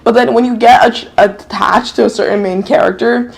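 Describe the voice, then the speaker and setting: A woman with a fairly high voice and a lot of gravelly fry, talking her way through a show review in loose, chatty vlog fashion. She rambles, stops to correct herself, and drops the odd swear. She grew up in New York, and Japanese is her first language. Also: steady tone, moderate pace. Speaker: YouTube drama reviewer.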